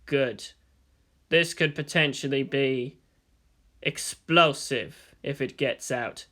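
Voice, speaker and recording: A narrator voicing a cold, calculating middle-aged male commander in a slow, deliberate, grave manner. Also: medium pitch, sharp tone, voice pushed down. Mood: cold, brooding.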